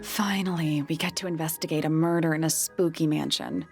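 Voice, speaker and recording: An actress voicing a dry, sarcastic young female detective's sidekick in a video game, with a creaky, deadpan delivery. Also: deep voice, brisk, faint music behind. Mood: wry.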